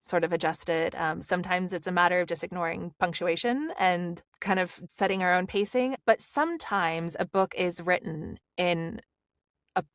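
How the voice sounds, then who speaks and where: A woman's voice: lowish voice, normal speed, dry recording, studio quality. A woman with a standard Pacific Northwest American accent, an audiobook narrator chatting animatedly in a podcast interview, with lots of fillers. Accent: General American, Pacific Northwest. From Pacific Northwest, USA. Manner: animated and talkative, many fillers.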